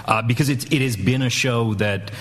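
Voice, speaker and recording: A man chatting casually as a panelist on a movie news show, with a somewhat halting delivery. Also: mid-range voice, a bit bright.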